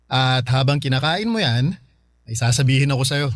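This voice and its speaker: An actor playing an affectionate, gentle uncle in a radio drama, playful and patient as he talks to a child. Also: medium pitch, expressive, normal speed, no echo, studio quality, natural voice. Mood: fond. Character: affectionate, gentle uncle talking to his young nephew.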